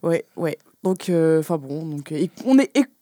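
A woman hosting a casual radio show, brisk and bossy as she steers the talk. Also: deep voice, very animated, dark tone, no room sound, clean studio sound.

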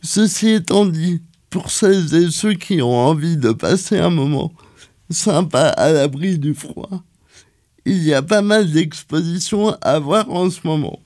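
Slow, mellow-voiced man reading a culture column. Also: a little high, lively intonation. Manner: slow and careful, with hesitations and fillers. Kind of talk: reading a radio events column.

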